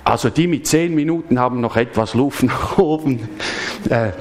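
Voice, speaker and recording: A man's voice: medium pitch, moderate pace. Man giving a sermon, chatty, questions the congregation, church service. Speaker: pastor.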